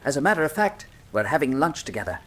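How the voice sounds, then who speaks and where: An actor playing an earnest, deferential, slightly flustered police inspector in a radio drama. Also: male voice, a little high, big pitch swings, quick pace, a bit bright. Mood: eager. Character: eager, polite, somewhat flustered male police inspector. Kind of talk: full-cast radio drama acting.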